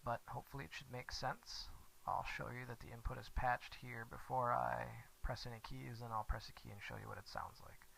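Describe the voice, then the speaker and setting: A man with a creaky, gravelly voice talks casually through a step-by-step software tutorial as its presenter. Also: mid-range voice, flat delivery, a little fast, few pauses, poor audio. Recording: hissy background noise.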